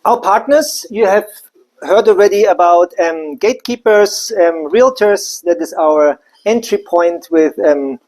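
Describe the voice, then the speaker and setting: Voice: medium pitch, a little slow, a little mellow, low-quality recording. A speaker from Germany, giving a live business presentation in a loose, conversational way with many side remarks. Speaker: company presenter.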